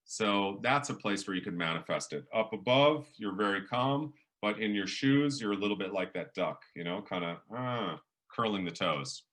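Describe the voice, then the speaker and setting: Big-voiced professor with a bright, forceful tone. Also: male voice, moderate pace. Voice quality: big, booming voice. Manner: animated, varies volume and pace for emphasis. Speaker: professor leading a public speaking workshop. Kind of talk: lively workshop lecture.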